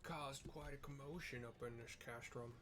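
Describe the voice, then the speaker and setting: A man's voice: mid-range voice, steady tone, moderate pace. A man giving informal, wry and self-deprecating gameplay commentary mixed with personal chat. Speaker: gaming streamer and narrator. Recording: clear background noise.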